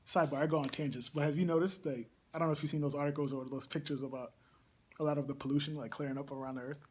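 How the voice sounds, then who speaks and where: A young man with a somewhat higher, husky voice speaks in a casual interview. He reflects slowly and openly, often stopping with an um to gather his thoughts. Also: steady tone, a little mellow. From Barbados. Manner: thoughtful, pauses with frequent ums. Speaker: campus ministry engagement coordinator.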